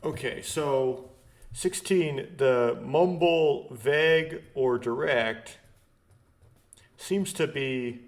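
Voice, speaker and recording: A man doing a casual let's play, haltingly thinking aloud and reading visual novel text out. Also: mid-range voice. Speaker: let's player. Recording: background music behind voice. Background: game music.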